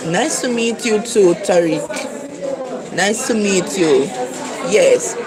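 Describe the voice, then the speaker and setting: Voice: mid-range voice, takes it slowly, a crowd in the background, rough recording. A speaker from Jamaica with a Jamaican accent, giving a casual, earnest talk of encouragement to livestream viewers and repeating key phrases. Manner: earnest, repetitive exhortation. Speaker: livestream preacher.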